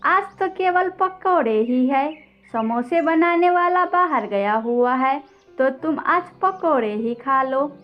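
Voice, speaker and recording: A woman's voice: high-pitched, animated, takes it slowly. Lady as a plaintive girl bird in a cartoon, slightly muffled, faint music under her. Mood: matter-of-fact. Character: earnest, worried young female bird shopkeeper.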